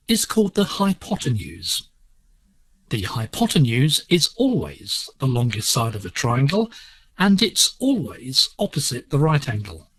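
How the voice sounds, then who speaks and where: A man presenting a scripted educational lesson on shortwave digital radio, explaining in a measured, deliberate way. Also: mid-range voice, a bit bright, breathy edge, no echo, rough recording. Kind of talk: scripted instructional narration.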